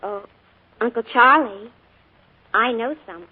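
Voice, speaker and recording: Earnest, prying young niece; high, bright-toned voice, thin 1940s broadcast sound. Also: female voice, measured pace, slightly rough. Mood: eager. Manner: eager and insistent. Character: earnest, curious young woman, the uncle's niece. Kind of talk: 1940s radio acting. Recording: tinny old radio broadcast.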